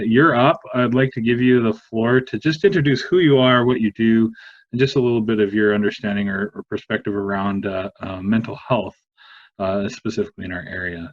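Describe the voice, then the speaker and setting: Man, slightly low voice, panel moderator, informal, hesitant, slightly dull sound.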